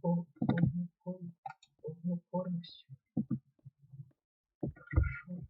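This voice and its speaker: Young male gamer, very husky and slow-spoken, muttering commentary on a dull lo-fi mic. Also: high voice. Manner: mutters to himself while playing, then talks excitedly to viewers. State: slightly ill, clears throat. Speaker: gaming channel host. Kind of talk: casual gameplay commentary.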